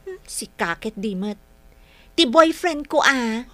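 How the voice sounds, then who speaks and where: A woman's voice: a little high, lively intonation, slow pace, bright, forceful tone, no room sound, full character voice. A woman with an Ilocano accent acting a confident, breezy, persuasive young woman in a radio drama. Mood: excited.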